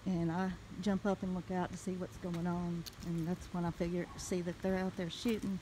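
A woman with a Southern US accent telling a story casually in a folksy, animated way, recorded on a handheld phone outdoors. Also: fairly low pitch, normal speed, background noise. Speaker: homestead vlogger. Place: outdoors in a wooded rural yard.

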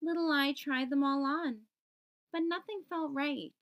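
A woman hosting storytime, reading a children's picture book aloud and enunciating deliberately for young listeners. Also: sits high, fairly even intonation, dull audio. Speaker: storytime host.

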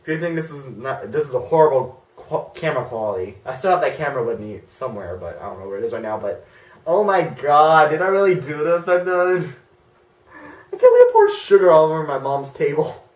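Teen vlogger reacting to his old clips; bouncy, excitable delivery with lots of pitch swings, recorded a bit distant in a roomy bedroom. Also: fairly quick. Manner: excitable, embarrassed, jumps between mutters and yelps. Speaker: YouTuber reacting to old videos. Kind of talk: casual reaction commentary. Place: bedroom, indoors, hugging a big stuffed bear.

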